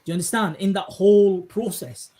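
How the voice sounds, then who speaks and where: Courteous man hosting, halting and repetitive. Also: high voice, warm tone. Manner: courteous, hesitant, repeats words while searching for phrasing. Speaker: podcast host. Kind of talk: conversational interview.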